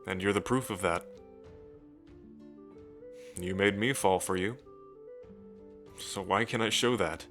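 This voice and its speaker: A low-pitched dragon king, acted by a man, romantic and proud. He speaks tenderly and without hurry, teasing softly in an intimate ASMR roleplay, with music playing behind him. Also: slow pace, pauses often, heavily acted. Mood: tender, earnest.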